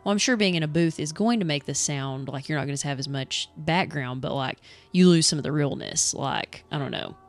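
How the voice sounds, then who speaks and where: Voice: medium pitch, fairly quick, hardly any pauses, soft background music. A podcast co-host talking in casual, conversational chat.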